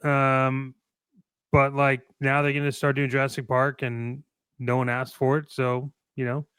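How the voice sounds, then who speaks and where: A man with a clear, smooth voice and a close, dry sound, chatting casually as a talk show co-host. He is blunt and opinionated in how he puts things. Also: medium pitch, completely flat delivery, measured pace, fairly bright tone, no echo. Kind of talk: casual chat about toy collecting.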